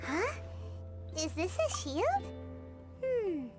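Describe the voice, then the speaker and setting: A tiny fairy companion from a video game, extremely high and childlike. The voice is cheeky and chirpy with lively swoops in pitch. Loud music runs behind it. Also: female voice, very slow, heavily acted. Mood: puzzled. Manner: childlike, squeaky, teasing, speaks in third person. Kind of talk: video game cutscene voice acting.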